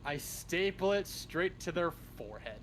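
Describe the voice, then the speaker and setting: A man chatting casually as an interview guest, halting and jokey, often laughing. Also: on the high side, lively intonation, crowd noise. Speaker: interview guest, script writer. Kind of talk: casual chat. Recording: faint background noise on live stream.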